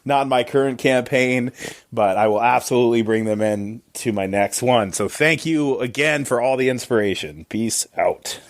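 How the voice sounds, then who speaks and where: A man's voice: medium pitch, moderate pace, dry recording. A man leaving a casual, chatty voicemail in an informal, slangy and enthusiastic manner. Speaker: podcaster leaving a listener voicemail.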